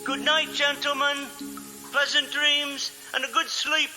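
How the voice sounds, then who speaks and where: High-voiced, pedantic mastermind scientist. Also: male voice, flat delivery, unhurried. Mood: calm, instructing. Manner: formal, clipped, pedantic diction. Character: precise, self-assured male mastermind scientist leading thieves. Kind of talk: radio drama acting. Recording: hall-like reverb with faint music.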